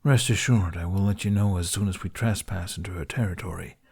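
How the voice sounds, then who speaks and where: Audiobook dragon companion, performed by a man: male, lowish voice, wry, forbearing, dryly emphatic. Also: a little fast, vocal fry, darkish tone, husky, exaggerated voice. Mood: exasperated patience.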